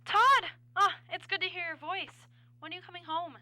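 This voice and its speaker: An actress in a radio drama playing an affectionate, anxious wife talking on the telephone. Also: female voice, very high voice, moderate pace, bright, forceful tone, faint music behind, heavily acted. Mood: happy, affectionate.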